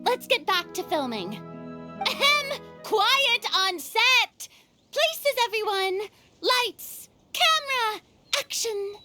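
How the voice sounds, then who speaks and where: A woman voicing an excitable, bossy young female film director in an anime-style video game, with commanding, theatrical exclamations. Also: very high-pitched, slow, music in the background, heavily acted. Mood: brisk, determined.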